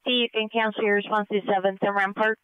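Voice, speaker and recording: A man's voice: high voice, flat delivery, fast, fairly bright tone, slight background noise. A man working as a dispatcher, giving clipped procedural messages over a police radio. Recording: muffled police scanner radio.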